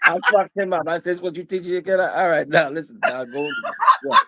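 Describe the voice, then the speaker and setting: A man's voice: on the high side, fairly quick, heavy background noise. A man from Haiti who is a guest on a casual panel talk show, blunt, telling stories and acting out his own quotes.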